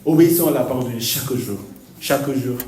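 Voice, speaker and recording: Pastor preaching in an echoey hall. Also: male voice, mid-range voice, dark tone, a little hiss or hum. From Argentina. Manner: halting, repeats words, laughs often. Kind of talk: sermon. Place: church hall. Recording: echoey hall, distant mic.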